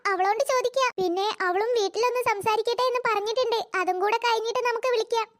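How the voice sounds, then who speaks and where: A performer voicing a teasing young woman in a family cartoon, with a childlike, pitched-up sound. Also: steady tone, quick pace, fairly bright tone. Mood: earnest. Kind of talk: Malayalam family cartoon dialogue.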